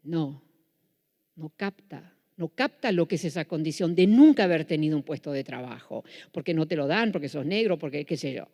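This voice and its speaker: Mellow-voiced woman with Buenos Aires accent. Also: deep voice, animated, moderate pace. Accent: Buenos Aires Spanish. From Netherlands. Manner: self-correcting, with frequent restarts. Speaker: lecturer. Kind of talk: public lecture. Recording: reverberant hall.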